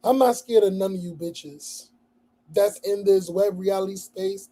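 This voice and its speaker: A man hosting a casual livestream, hoarse, speaking bluntly with a lot of profanity and repetition. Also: sits high, unhurried, warm tone. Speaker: livestream host.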